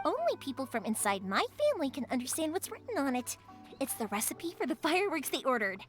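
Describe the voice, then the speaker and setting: A woman voicing a cheerful, warm-hearted young fireworks maker in a game, friendly and reassuring. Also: sits high, sing-song, quick pace. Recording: game audio with background music.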